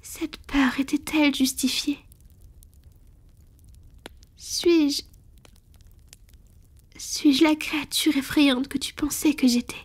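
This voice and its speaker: Casting: tender, morally torn vampire lady played by a woman, high breathy voice, whispered intimate ASMR roleplay delivery. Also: fairly even intonation. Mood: vulnerable, hesitant. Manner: slow, intimate, hesitant. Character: tender, conflicted wealthy female vampire confiding in her beloved.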